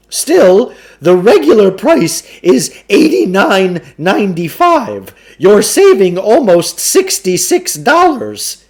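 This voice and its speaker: A man's voice: high-pitched, darkish tone, pitched above the performer's own voice, heavily acted. A man voicing a pompous, wheedling huckster salesman from a storybook, with theatrical sales patter. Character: blustering, wheedling huckster salesman peddling mind-control gadgets.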